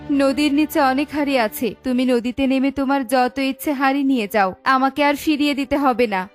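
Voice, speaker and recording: A woman voicing a calm, helpful magical water fairy in a children's cartoon, measured and gently authoritative. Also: high voice, soft background music, natural voice. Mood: stern.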